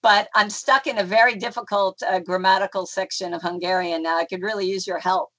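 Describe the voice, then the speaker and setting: A woman's voice: mid-range voice, steady tone, brisk, a bit bright. A middle-aged woman from North America, a folk dancer being interviewed, talking in a chatty, rambling way with many fillers and restarts. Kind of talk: casual conversational interview.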